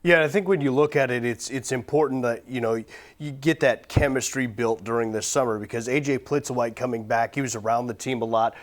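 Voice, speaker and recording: A man with a medium-pitched voice talking sports. He comes across as a show analyst, breaking things down in a conversational style. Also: brisk.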